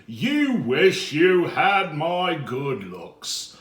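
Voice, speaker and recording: A man's voice: fairly high pitch, very animated, drawn-out words, fairly bright tone, reverberant, pitched above the performer's own voice, heavily acted. A man voicing a proud, boastful alphabet letter who mocks others in a children's story. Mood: proud, taunting. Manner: boastful, mocking. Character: proud, vain, taunting cartoon letter E.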